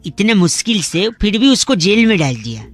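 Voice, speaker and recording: A man's voice: high voice, sing-song, moderate pace, no room sound, soft background music. A man on the radio making comic prank calls, teasing and playing innocent. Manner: playful, teasing, mock-innocent.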